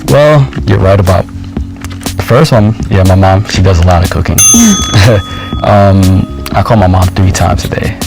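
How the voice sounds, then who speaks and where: A man's voice: deep voice, sing-song, unhurried, vocal fry, over music, rough recording. A Jamaican-born man in his thirties on a dating show, talking casually and joking around. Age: 30s. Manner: playful, jokey, puts on voices when telling stories. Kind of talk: interview and casual date chat.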